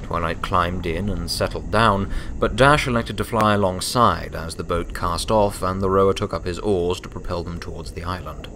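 Low-pitched male story narrator. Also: moderate pace, hardly any pauses, pushed and bright, a little hiss or hum.

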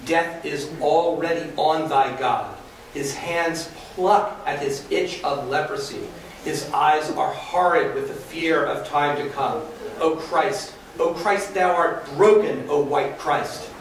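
A man's voice: on the high side, slow. A man voicing a defiant, fervent pagan priest, declaiming archaic verse in a staged dramatic reading. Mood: fervent, scornful. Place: hotel conference room, indoors. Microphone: camera across the room. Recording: very echoey room, distant mic, faint noise.

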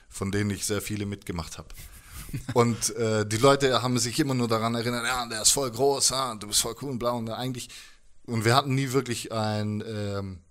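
A man's voice: lowish voice, a bit bright. A man in his thirties chatting casually as a musician in an interview, telling stories with slangy asides into a handheld microphone. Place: living room, indoors.

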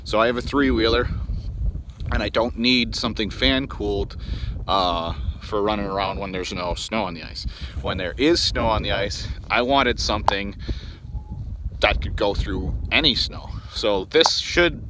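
A man's voice: on the low side, a little slow, background noise. A man in his thirties from Wisconsin, talking casually to viewers from a phone held at arm's length outdoors on snow, rambling with many ums and restarts. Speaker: outdoors channel host. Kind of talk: casual vlog walkaround. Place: outdoors on snowy frozen lake.

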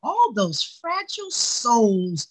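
A woman's voice: on the high side, big pitch swings, slow, breathy edge, heavily acted, rough recording. A woman playing a chatty, sardonic secretary with folksy endearments in an online play reading. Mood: chatty, wry. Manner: folksy, sardonic, calls people hon and sweetie. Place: indoors on a video call. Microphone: video call microphone.

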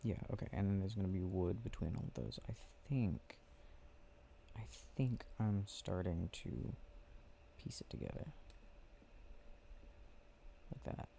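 Slow, creaky, hushed ASMR man. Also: low voice, slight background noise. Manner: hushed, drowsy murmuring, unhurried pauses. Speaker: ASMR roleplay audio creator. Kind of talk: soft-spoken ASMR boyfriend roleplay. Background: game clicking sounds.

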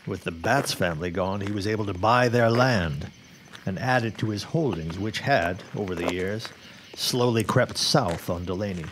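A man's voice: lowish voice, moderate pace, background noise, close to an everyday voice. An actor playing a wary, grave 1910s newsman in a radio drama, speaking in formal, old-fashioned phrases. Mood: grave, foreboding. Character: grim, wary 1910s newsman and worried father. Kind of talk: period radio drama acting.

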